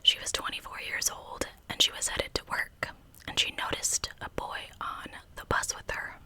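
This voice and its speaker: A woman telling true crime stories as ASMR in a breathy, unvoiced whisper with a conversational manner. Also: normal speed, pushed and bright. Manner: conversational narration.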